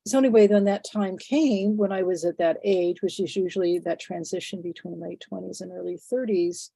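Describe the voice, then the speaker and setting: Congested woman in her sixties, low and mellow. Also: no room sound, low-quality recording. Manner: thoughtful, halting, many fillers and restarts. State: congested, phlegmy from a head cold. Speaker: interview guest. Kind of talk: reflective conversational interview.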